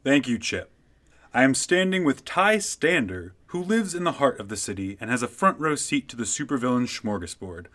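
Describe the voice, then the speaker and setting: An earnest field reporter with a sharp, forceful voice. His intonation is animated as he handles a mock live interview in a superhero comedy radio play. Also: medium pitch, moderate pace. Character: earnest on-the-scene TV news reporter.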